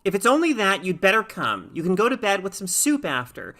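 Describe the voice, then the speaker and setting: Lady doing a harried bossy mom in a story, bright and urgent. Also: medium pitch, sing-song, pitched above the performer's own voice. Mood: resigned, brisk. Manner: insistent, brisk. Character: harried, brisk, worried mother.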